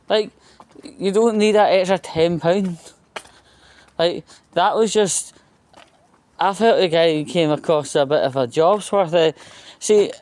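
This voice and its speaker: Slow-talking Scottish man, rambling. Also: fairly high pitch, darkish tone, clear, resonant tone. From Scotland. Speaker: vlogger. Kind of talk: rambling casual monologue, telling an anecdote.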